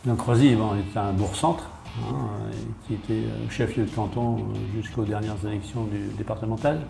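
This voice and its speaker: A man's voice: lowish voice, steady tone, moderate pace, vocal fry. A man explaining things as a town mayor in an interview, matter-of-fact, listing points and often rephrasing himself. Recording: roomy, echoey sound under guitar music.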